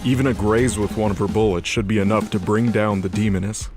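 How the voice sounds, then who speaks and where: Casting: male narrator, low voice, scripted action storytelling over prominent music. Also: fairly even intonation, a little fast.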